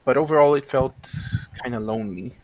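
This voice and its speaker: A man in his thirties from Mexico talks through a casual interview, pausing often with fillers and restarting his sentences. His voice sits at an ordinary middle pitch. The sound is dry, with no room echo. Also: muffled recording. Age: 30s. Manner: hesitant, many fillers and restarts. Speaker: guest.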